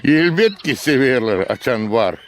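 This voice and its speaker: An actor playing a patient grandfather in a cartoon, telling stories in a gentle, unhurried way. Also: medium pitch, animated, lightly acted. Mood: warm. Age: older. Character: kindly grandfather figure, patient elder. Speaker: grandfather character. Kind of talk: cartoon voice acting.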